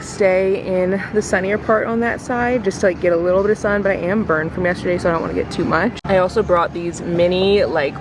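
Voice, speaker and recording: A young American woman with a slightly crackly, tired voice, chatting casually and rambling in an informal book vlog. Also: mid-range voice. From US Midwest. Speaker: book vlogger. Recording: roomy echo, distant mic, clear background noise.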